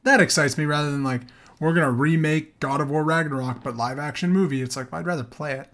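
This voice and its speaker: A man's voice: a little high, fast talker, a bit bright. A man co-hosting a gaming podcast, chatting casually and informally. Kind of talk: casual group chat about games and films.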